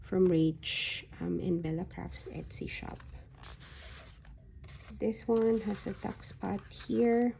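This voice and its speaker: A woman with a hoarse voice that is recovering from a sore throat, showing a handmade journal folio in a soft, unhurried way with frequent ums. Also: medium pitch, a little hiss or hum, rough recording. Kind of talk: slow, casual craft flip-through.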